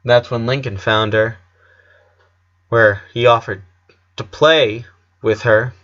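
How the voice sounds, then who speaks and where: Bright, forceful man narrating a story. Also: mid-range voice, steady tone, takes it slowly, dull audio. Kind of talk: scripted story narration, audiobook reading.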